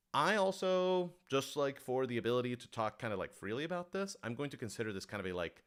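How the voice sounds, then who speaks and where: A man's voice: medium pitch, a bit bright, clear, resonant tone. A man hosting a casual livestream Q&A, talking informally and thinking aloud as he corrects himself. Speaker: podcast writer-director hosting a livestream Q&A.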